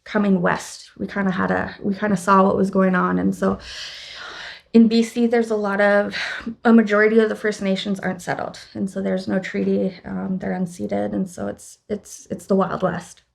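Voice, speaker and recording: A young woman from western Canada, a guest in an interview at a conference, talking in a thoughtful, halting way with many fillers and restarts. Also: medium pitch, level tone, gravelly. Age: young adult. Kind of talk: casual interview talk. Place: conference venue.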